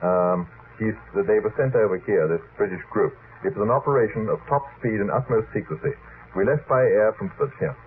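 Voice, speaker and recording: A man with a fairly low voice moderates a late-night radio panel. He talks in wordy, hesitant runs and interrupts to steer the talk. The sound is a thin, phone-like vintage broadcast with faint noise. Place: radio studio. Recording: noisy, phone-like vintage radio archive recording.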